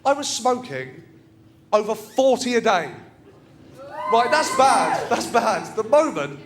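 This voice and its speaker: Young man with a nasal voice, high-pitched. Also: crowd noise. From England. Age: young adult. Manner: fast deadpan storytelling to a laughing audience. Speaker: stand-up comedian. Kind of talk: stand-up routine. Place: TV studio stage with live audience. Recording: loud studio audience laughter.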